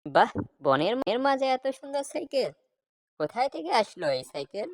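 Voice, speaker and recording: A woman playing a sneaky, greedy thief in an animated cartoon, scheming aloud alone. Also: on the high side, moderate pace, no echo, clean studio sound. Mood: amazed. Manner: scheming, talking to self.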